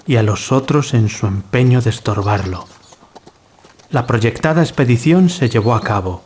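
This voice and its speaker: A man acting as a young nobleman and huntsman in a gothic audio tale. He speaks in a middle-pitched voice with a grave, courtly and declamatory manner, like a formal storyteller. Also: a little mellow, natural voice.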